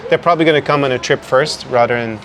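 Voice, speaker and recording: A fast-talking man hosts a casual interview out in the city, his words tumbling out with quick restarts. A busy crowd murmurs clearly behind him. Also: mid-range voice. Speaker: podcast host.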